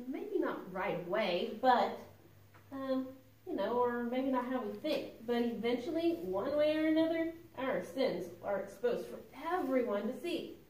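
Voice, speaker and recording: A woman's voice: sits high, darkish tone. A woman voicing a sensible young girl puppet in a children's church show, earnest, hesitant and a little dramatic. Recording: echoey room, distant mic.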